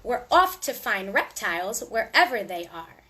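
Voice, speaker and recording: A woman hosting a storytime for young children, reading aloud in a sing-song, deliberate way with little asides to her listeners. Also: a little high, big pitch swings, pushed and bright, a little hiss or hum.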